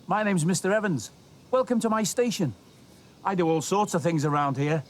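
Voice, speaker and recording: A man narrating a story for children as host, expressive and speaking directly to young listeners. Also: high voice, normal speed, slight background noise. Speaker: storytelling host of a children's show, station worker persona.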